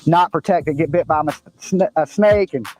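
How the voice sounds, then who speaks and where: A high-voiced man leads a search outdoors and talks straight to camera. He explains each thing like a showman, with music clearly audible behind him. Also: normal speed, darkish tone. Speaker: amateur search team leader. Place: outdoors at the edge of woods.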